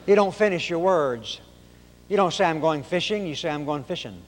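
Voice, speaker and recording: A man from Oklahoma with a high-pitched nasal voice, preaching a sermon in folksy storytelling fashion. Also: animated, normal speed, long reverb tail, a little hiss or hum. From Oklahoma, raised in Arkansas.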